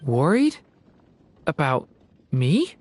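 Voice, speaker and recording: An actor voicing a gentle, earnest young boy in a video game, speaking in a soft, hesitant, thoughtful way. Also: mid-range voice, huge pitch swings, very slow, a little hiss or hum, exaggerated voice. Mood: apologetic, moved.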